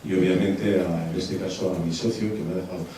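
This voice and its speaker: A man's voice: deep voice, fairly even intonation, brisk, mellow, a little hiss or hum. A man moderating a debate after a film screening, speaking hesitantly with many fillers and restarts. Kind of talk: post-screening Q&A. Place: cinema hall. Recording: very echoey hall from far off.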